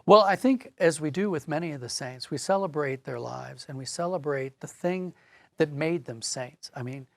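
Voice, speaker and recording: Guy guest on an interview, lots of vocal fry, a bit higher pitched, thoughtful, conversational. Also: moderate pace, no room sound. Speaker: interview guest, audio drama writer.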